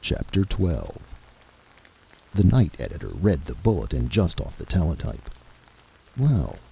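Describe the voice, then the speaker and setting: Deep gravelly man narrating a story. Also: big pitch swings, moderate pace, lo-fi sound. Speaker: audiobook narrator. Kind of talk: dramatic scripted story narration.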